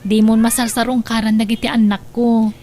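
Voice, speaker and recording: A woman's voice: fairly high pitch, level tone, fairly quick, warm tone, close to an everyday voice. A woman playing a worried wife and mother in an audio drama, speaking in an emotive, sighing way. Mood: suspicious. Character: suspicious, worried wife and gentle mother of young children. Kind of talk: Ilocano audio drama acting.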